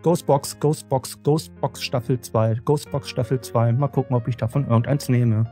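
A man's voice: medium pitch, fairly even intonation, moderate pace, mellow. A man hosting a podcast, chatting casually and presenting segments. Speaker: podcast host. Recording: music bed behind voice.

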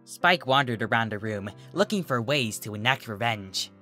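A narrator reading a scripted comic story in an expressive way. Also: medium pitch, moderate pace, soft background music. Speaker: narrator, fan fiction reader.

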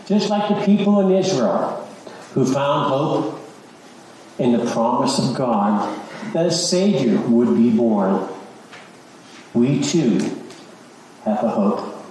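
A middle-aged or older man addressing a church congregation as a lay leader, halting and repeating words. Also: medium pitch, animated, darkish tone. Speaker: church lay leader and search committee chair. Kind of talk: church announcement and short devotional to a congregation. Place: large church sanctuary, indoors. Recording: echoey church, distant microphone, background noise.

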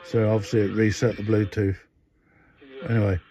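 A man's voice: on the low side, flat delivery, normal speed, dark tone. Older man, rambling workbench demo. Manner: rambling, many fillers and restarts. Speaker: radio hobbyist showing a modification. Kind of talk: casual hands-on demo. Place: indoor workbench. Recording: dull, dry lo-fi phone audio.

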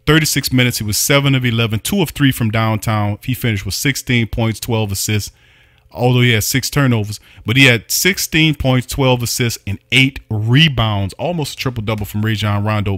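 A man's voice: medium pitch, fairly bright tone, no room sound, studio quality. A man hosting a sports podcast, reading off game stats in an upbeat recap. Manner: reads off stats, upbeat hype.

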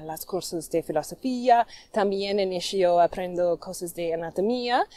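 Low mellow woman. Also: no echo. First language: English. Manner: halting, many fillers, warm well-wishing. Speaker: vlogger. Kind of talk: casual vlog talk.

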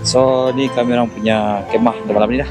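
A man's voice: mid-range voice, steady tone, fast talker, over music. A man narrating a casual camping vlog, informally mixing in English words. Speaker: camping vlogger.

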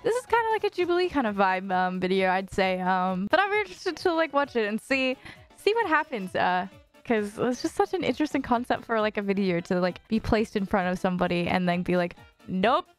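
A woman's voice: mid-range voice, very animated, a little fast, faint music behind. A young woman streamer chatting casually as she reacts to a video, giggly and full of "like" and "um", on a studio mic with headphones in a purple-lit room. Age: young adult. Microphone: studio mic on boom arm, wearing headphones.

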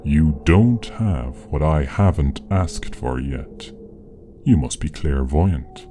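A man's voice: very deep voice, expressive, takes it slowly, noisy background, exaggerated voice. A man playing a menacing, imperious fiend in a radio play, speaking in a taunting, commanding manner. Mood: coldly taunting. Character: menacing, imperious male fiend of great power. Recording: music under the voice. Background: music.

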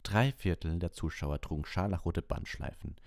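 A man's voice: deep voice, normal speed, creaky, no echo, studio quality. A man reading a story aloud as an audiobook narrator.

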